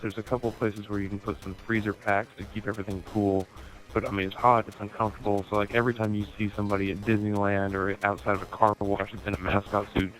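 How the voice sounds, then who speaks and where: A man's voice: on the low side, rough recording. A man telling a casual comic anecdote while playing a game, swearing freely and acting out both sides of conversations. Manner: sweary, animated retelling with acted-out dialogue. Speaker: storyteller in an online gaming video. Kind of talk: casual comic anecdote told over gameplay.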